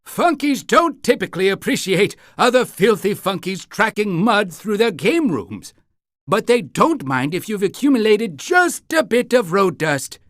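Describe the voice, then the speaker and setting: Chirpy game guide creature, polite, fussy, very animated, theatrical, dry close sound. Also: male voice, high voice, a little slow, no room sound, full character voice. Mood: apologetic. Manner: theatrical announcer delivery with awkward hesitations. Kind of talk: scripted cartoon game guide.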